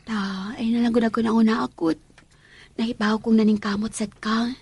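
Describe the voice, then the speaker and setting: A woman's voice: on the high side, little pitch movement, a little fast, gravelly, darkish tone, husky. An actress with a Cebuano Filipino accent playing a poor, self-pitying young mother in an emotional, pleading radio drama style. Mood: insistent, earnest. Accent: Filipino, Cebuano-speaking. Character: poor self-pitying young mother leaving her children for maid work. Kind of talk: Philippine radio drama acting.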